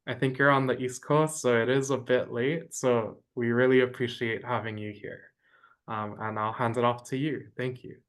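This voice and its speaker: A man's voice: mid-range voice, fairly even intonation, normal speed. Man reading a formal introduction. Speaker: program host introducing a speaker.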